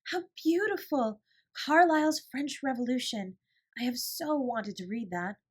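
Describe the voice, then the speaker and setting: Woman voicing an eager, high-pitched schoolgirl. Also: lively intonation, a little slow, breathy edge, full character voice. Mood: excited. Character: bright, earnest, imaginative schoolgirl, eager and principled.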